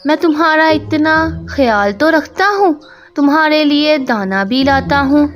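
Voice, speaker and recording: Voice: high voice, animated, measured pace, fairly bright tone, dull audio, faint music behind. A performer voicing a meek young male husband bird in a Hindi cartoon, plaintive and pleading. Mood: hurt, pleading.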